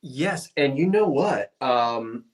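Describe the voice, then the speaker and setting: A man's voice: medium pitch, expressive, takes it slowly. A man hosting a casual live-stream sale over a video call, folksy and homespun in how he talks.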